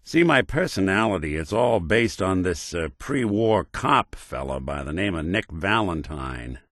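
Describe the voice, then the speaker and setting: An actor playing a world-weary American robot detective in a video game, with a wry, hesitant, hard-boiled noir delivery. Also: male voice, deep voice, animated, unhurried, a bit bright, dry recording, clean studio sound, full character voice. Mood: confiding. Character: world-weary synth detective, a machine with an old-time cop's personality.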